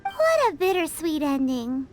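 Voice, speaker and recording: A super high tiny fairy sidekick, cheeky n perky, anime game voice, bouncy. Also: female voice, sing-song, a little slow, over music. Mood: perky, bemused. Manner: perky, exclamatory. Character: tiny, childlike, cheeky female fairy companion. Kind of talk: anime video game voice acting.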